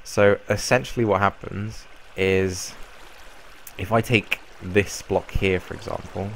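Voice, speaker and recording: A young man with a croaky voice from a cold, casually explaining a game build in a rambling way. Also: sits low, slow, slight background noise, low-quality recording. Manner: relaxed, rambling explanation with fillers. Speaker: Minecraft YouTuber. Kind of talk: casual gameplay tutorial.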